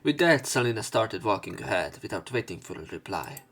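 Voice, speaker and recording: A man doing a let's play, reading visual novel narration and dialogue aloud, somewhat haltingly, correcting himself as he goes. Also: fairly low pitch, lively intonation, fairly bright tone, slightly rough. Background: game music.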